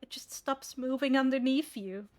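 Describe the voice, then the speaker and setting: A woman's voice: sits high, normal speed, faint music behind. A woman acting as game master, narrating and guiding an online tabletop roleplay.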